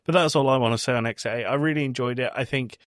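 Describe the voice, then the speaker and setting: A man's voice: mid-range voice, racing, no room sound, clean studio sound. A man co-hosting a podcast, chatting casually about films in a blunt, conversational way with some swearing. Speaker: podcast host. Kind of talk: casual film review chat.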